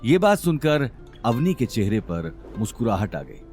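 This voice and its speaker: A man's voice: mid-range voice, sing-song, a little slow, darkish tone, soft background music. A man narrating a scripted audio drama in a dramatic storytelling style.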